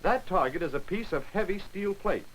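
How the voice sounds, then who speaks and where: A man giving brisk, wry scripted narration for a 1940s military training film, on an old film soundtrack. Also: sits high, lively intonation, slight background noise, poor audio.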